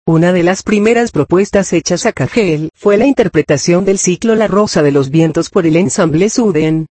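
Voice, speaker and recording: A narrator reading a scholarly text aloud in a formal, measured, scripted way. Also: medium pitch, darkish tone, no echo.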